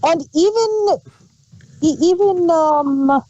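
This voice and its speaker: A woman co-hosting a review show, chatting casually in a playful, halting way. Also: very high-pitched, warm tone, highs cut off, a little hiss or hum. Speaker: podcast co-host.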